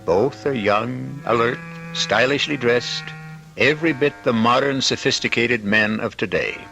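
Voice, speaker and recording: A man hosting and narrating a radio mystery theatrically, with suspenseful pauses and dramatic emphasis. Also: medium pitch, vocal fry, pushed and bright, dull audio. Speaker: host and narrator. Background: music.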